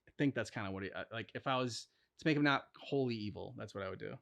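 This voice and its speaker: A man chatting casually as co-host of a reaction channel, thinking out loud in a rambling way peppered with "like". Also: lowish voice, fast talker, vocal fry. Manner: rambling, speculative, heavy use of "like". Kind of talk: casual chat, reacting to game cutscenes.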